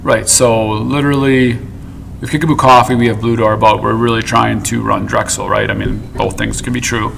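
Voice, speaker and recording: A man's voice: fairly low pitch, little pitch movement, moderate pace, creaky, a bit bright, slight background noise. A man hosting a podcast in casual chat, rambling playfully and prompting his guests to tell their stories. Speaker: podcast host.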